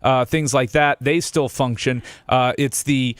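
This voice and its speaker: A man's voice: medium pitch, fairly even intonation, unhurried, studio quality. A man co-hosting a radio show, talking casually. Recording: roomy echo, clear background noise.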